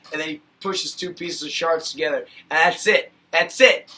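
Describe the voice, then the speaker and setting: A man's voice: fairly high pitch, normal speed, pushed and bright, distant mic, a little hiss or hum. A man vlogging a casual, rambling review of a cartoon episode, chatty and full of fillers, getting worked up now and then.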